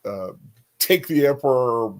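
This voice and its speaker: A man with a medium-pitched voice co-hosts a relaxed, informal discussion. He speaks haltingly as he gives his opinions. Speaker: co-host. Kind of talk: casual chat.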